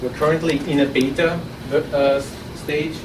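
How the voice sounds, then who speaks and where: A man with an Austrian accent giving a live startup pitch to an audience, talking conversationally and often restarting his sentences. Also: fairly even intonation, normal speed. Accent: Austrian German. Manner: conversational with frequent restarts and repeated words. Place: conference room at an innovation event. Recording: roomy, distant, noisy audience recording.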